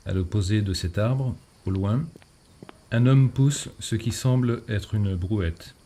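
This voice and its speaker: Male narrator for scripted image description, warm dark tone, slight background noise. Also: lowish voice, slow. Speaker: photo describer.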